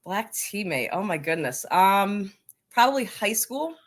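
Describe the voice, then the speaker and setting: A woman's voice: medium pitch, sing-song, a little slow. A woman with an American accent talking casually as an interview guest. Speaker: podcast guest, coaching organization leader.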